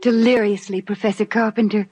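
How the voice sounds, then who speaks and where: A woman's voice: mid-range voice, little pitch movement, fairly quick, mellow, a little hiss or hum, lightly acted. A woman playing an adoring, curious British bride in a 1950s radio drama, with a romantic, theatrical delivery. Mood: adoring, dreamy. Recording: vintage 1950s radio broadcast.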